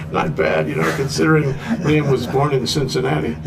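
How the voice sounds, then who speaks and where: A man's voice: mid-range voice, gravelly. A man hosting a live talk show, joking and rambling with fillers.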